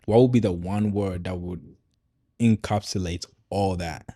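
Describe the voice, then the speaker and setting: Low mellow guy, native swahili speaker, casual podcast host, kinda halting, close dry sound. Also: fairly even intonation, dry recording. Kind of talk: casual conversational podcast chat.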